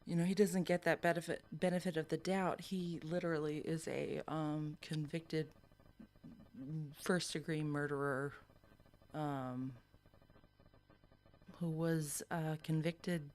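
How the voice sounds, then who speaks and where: A woman's voice: low-pitched, takes it slowly, a little mellow, a little hiss or hum. An American woman chatting casually and unscripted as a livestream commentator, in a hesitant, rambling way. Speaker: livestream host commenting on police scanner.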